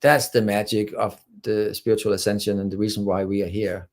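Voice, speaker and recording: A man's voice: on the low side, flat delivery, a little fast, a little mellow. A man from Denmark, a spiritual channeler talking as a guest in an interview in an earnest, rambling way, often filling with "you know". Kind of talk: casual interview talk.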